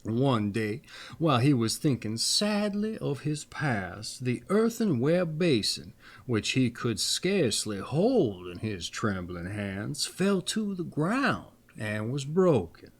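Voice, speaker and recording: A man tells old fairy tales in a playful, folksy way. His delivery is slow and deliberate, with lively rises and falls in pitch. He sounds like a theatrical channel host reading aloud. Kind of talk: expressive fairy tale read-aloud with casual folksy asides.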